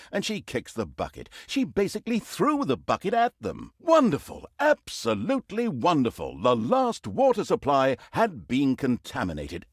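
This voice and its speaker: An actor playing a sardonic, theatrical narrator of a villainous fantasy game tale, with a mock-grandiose storybook delivery. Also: male voice, fairly high pitch, no echo, clean studio sound. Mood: gleeful, sarcastic, then announcing. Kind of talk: scripted comic storybook narration.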